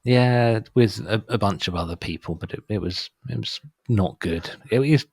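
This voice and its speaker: Middle-aged male podcast host, husky and fairly low, dry close sound, wry and offhand. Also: moderate pace, dry recording. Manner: wry, dismissive asides on cover songs. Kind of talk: casual chat.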